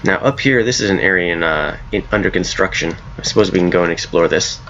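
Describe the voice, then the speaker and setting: A man with a very low, hoarse voice, since he's coming down with a cold, talks through his game mod in a loose, rambling way. His tone is bright and forceful despite the depth. The home recording sounds a little dull, with a faint hiss underneath. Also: fast talker. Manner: rambling, self-correcting, laughs at his own ideas. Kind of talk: casual gameplay commentary.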